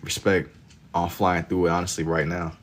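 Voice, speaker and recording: A man in his twenties hosting a livestream, chatting casually with viewers in laid-back slang and getting loud and laughing when teased. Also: sits low, level tone, moderate pace. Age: 20s. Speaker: livestream host. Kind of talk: casual chat with viewers while drawing.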